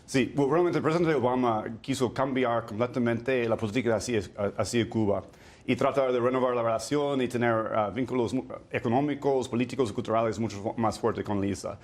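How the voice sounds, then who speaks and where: A man with a mid-range voice explains policy as a guest on a studio news panel. He speaks in a measured way and pauses to search for words. He often restarts a phrase before finishing it. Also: mid-range voice.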